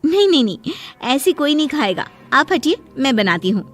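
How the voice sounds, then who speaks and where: A woman playing a sharp-tongued, defiant young daughter-in-law in a cartoon story, arguing with exaggerated indignation. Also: sits high, big pitch swings, moderate pace, faint music behind. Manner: argumentative, exaggerated indignant delivery.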